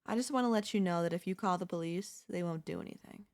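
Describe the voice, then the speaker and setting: A woman's voice: lowish voice, fast talker, lightly acted. A young woman playing an obsessive, lovesick yandere neighbor in an intimate, intense audio roleplay. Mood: calm, quietly menacing. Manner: intimate, pleading, emotionally intense delivery. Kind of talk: ASMR audio roleplay acting.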